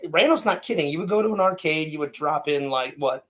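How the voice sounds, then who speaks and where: A man in his late thirties, co-hosting a casual chat with a loud, energetic, rambling way of talking. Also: on the high side, a little fast. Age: 30s. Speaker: podcast co-host.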